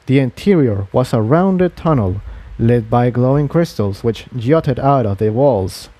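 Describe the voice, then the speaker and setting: A male storyteller narrates a fantasy adventure from a script. His voice is mellow and relaxed, with deliberate, measured phrasing. The recording is dry, with hardly any room sound. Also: medium pitch, no echo.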